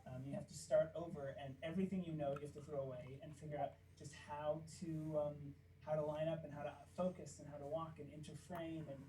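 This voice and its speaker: A man from Kansas with a squeaky voice, a puppeteer guest chatting casually and acting out stories. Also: brisk, few pauses, recorded from a distance, a little hiss or hum, low-quality recording. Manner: animated storytelling, acts out quotes. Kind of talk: casual interview chat.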